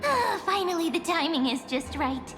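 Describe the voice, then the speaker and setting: A woman's voice: extremely high pitch, sing-song, moderate pace. A woman voicing a mysterious, cute memory sprite in a video game, teasing and wry in a theatrical way. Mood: playful, confident. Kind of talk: game voice acting, dramatic dialogue. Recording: long reverb, loud music behind.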